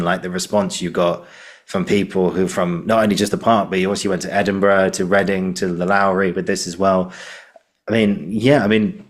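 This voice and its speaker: A man with a low-pitched voice hosts a podcast interview. He talks in a loose, rambling and encouraging manner, effusive towards his guest. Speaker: podcast host. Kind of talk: conversational interviewing.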